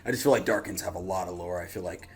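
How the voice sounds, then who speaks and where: A man with a fairly low voice hosts a casual reaction channel. He rambles along informally, with plenty of slang, as if talking with friends and viewers. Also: fast talker, a little hiss or hum. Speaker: YouTube channel host.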